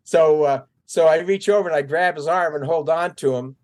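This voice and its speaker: A man's voice: on the high side, normal speed, darkish tone. An older man, a military veteran being interviewed, tells stories casually and acts out remembered dialogue as he goes. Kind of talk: casual war-story telling.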